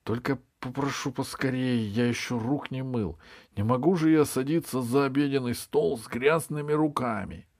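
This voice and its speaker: A man's voice: medium pitch, normal speed, no room sound, studio quality, pitched above the performer's own voice, close to an everyday voice. A man voicing a pompous, fussy king in a storybook, with grandiose, self-satisfied delivery. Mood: indignant, peevish. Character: pompous, fussy, capricious old-world king.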